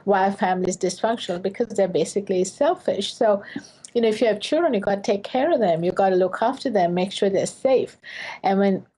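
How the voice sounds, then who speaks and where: A woman's voice: medium pitch, a little fast, dark tone, rough recording. A woman from Sri Lanka, a professor, answering interview questions earnestly in short phrases with fillers.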